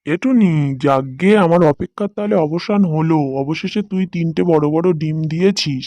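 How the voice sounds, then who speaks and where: A man's voice: high-pitched, dark tone, no echo. A man voicing a hungry, gullible, bossy wolf in a cartoon, grudging and condescending. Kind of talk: cartoon voice acting.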